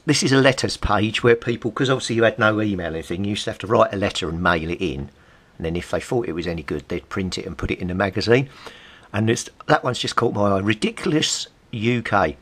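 A man's voice: lowish voice, expressive, a little fast, a bit bright, breathy edge. A middle-aged man with an English accent, chatting casually as he reads bits aloud, with wry, amused asides. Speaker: vlogger, CB radio hobbyist. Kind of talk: casual commentary while leafing through old magazines, reading bits aloud.

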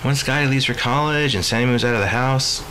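A man's voice: medium pitch, quick pace, close to an everyday voice. An actor playing an earnest, reassuring middle-aged American father in a radio drama, coaxing and sincere. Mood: warm, wistful. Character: earnest, reassuring father of two on a family holiday. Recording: clear background noise and ambience.

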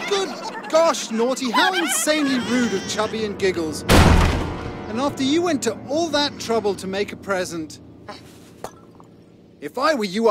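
British kids storybook narrator for a game, super sing-song and theatrical, sweetly condescending, music behind. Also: male voice, very high voice, fairly bright tone, exaggerated voice. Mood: indignant. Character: cheery, mock-kindly children's storybook narrator egging on mischief.

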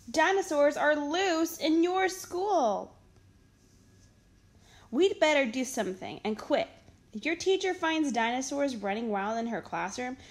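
High-pitched woman reading a children's story slowly. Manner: expressive, dramatic storytelling for children. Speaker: storytime reader. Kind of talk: children's picture book read-aloud.

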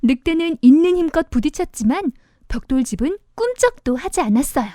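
Female children's storyteller: high voice, lively intonation, expressive, simple narration for little ones. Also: fairly quick, no echo.

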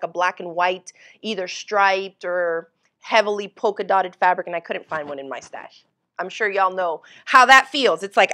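A woman's voice: medium pitch, sharp tone. A woman in her thirties hosts a casual livestream quilting lesson from her home sewing studio, chatting and greeting viewers by name. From Florida, USA. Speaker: quilting teacher and livestream host.

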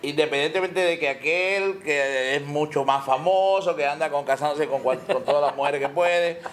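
Forties guy cracking jokes at a press table, kinda high voice, laughs a lot. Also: moderate pace, fairly bright tone. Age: 40s. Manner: boisterous, tells jokes, laughs often. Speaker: salsa singer at a press conference. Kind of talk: joking, effusive press talk. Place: indoor restaurant, seated at a table. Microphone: table microphones, later handheld mic.

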